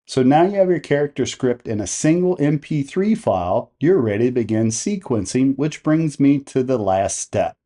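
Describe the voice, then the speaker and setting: Mellow male voice, medium pitch, tutorial presenter, methodical step-by-step explanation, plain-spoken. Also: expressive, normal speed, hardly any pauses. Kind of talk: step-by-step software tutorial narration.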